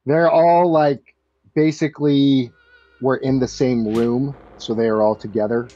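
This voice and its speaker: A man improvising narration as a game master, speaking in short phrases with pauses between them. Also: mid-range voice, steady tone, dull audio.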